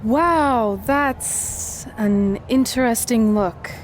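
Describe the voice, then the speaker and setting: High-voiced, earnest young wrestler. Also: female voice, drawn-out words, a little hiss or hum. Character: earnest, upbeat young female rookie wrestler. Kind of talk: video game cutscene acting.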